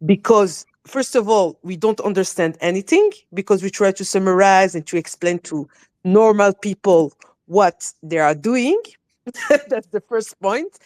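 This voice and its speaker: A native French-speaking woman with a French accent, talking earnestly and casually as a community ambassador in halting, non-native phrasing. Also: mid-range voice, lively intonation, darkish tone, no room sound. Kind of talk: casual, unscripted talk.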